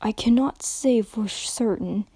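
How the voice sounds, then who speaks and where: A woman reading a calm, measured pony doctor. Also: fairly high pitch, husky, dry recording.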